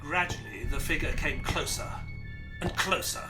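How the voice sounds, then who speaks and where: An actor playing a polite, earnest detective's companion who narrates an audio drama in a formal, theatrical storytelling manner. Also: male voice, on the high side, expressive, normal speed, music in the background, close to an everyday voice. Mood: dramatic narrating.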